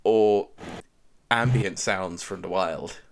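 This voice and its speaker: A man co-hosting a casual podcast, with a calm, monotone voice and dry, deadpan humour. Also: deep voice, slow, creaky, crowd noise. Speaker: podcast co-host.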